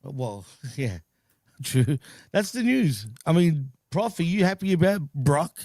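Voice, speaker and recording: A man with a slightly high voice hosts a wrestling podcast in an easygoing way. He laughs a lot and hedges his points. The sound is dry and close. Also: big pitch swings, takes it slowly, a little mellow, no room sound. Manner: laid-back, laughs often, hedges with fillers. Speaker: podcast host. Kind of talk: casual wrestling chat.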